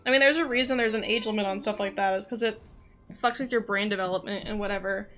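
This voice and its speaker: A young American woman chats casually on a game stream. Her voice is bright and forward at a medium pitch, and she rambles loosely from one thought to the next. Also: fairly even intonation, fast, faint music behind. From southeastern United States. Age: young adult. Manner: chatty, rambling, loosely jumping between thoughts. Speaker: game livestreamer.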